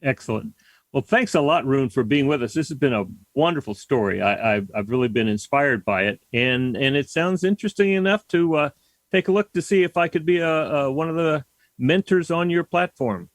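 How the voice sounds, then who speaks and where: A man's voice: on the high side, normal speed, no echo. A man hosting a talk radio interview, encouraging his guest and stumbling into restarts. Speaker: radio talk show host. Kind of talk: interview host.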